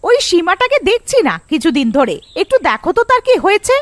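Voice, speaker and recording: A woman's voice: very high voice, big pitch swings, fast, no echo, pitched above the performer's own voice. A woman voicing gossipy, timid village housewives in a fairy-tale cartoon, chatty and exclaiming. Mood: curious.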